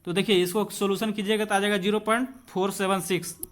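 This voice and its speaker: A high-voiced man gives an exam-prep physics lesson, working through questions step by step. He talks to students conversationally, puts rhetorical questions to them and drops English technical terms into his explanations. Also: level tone, fast, a bit bright. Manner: switches between Hindi and English terms. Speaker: physics teacher.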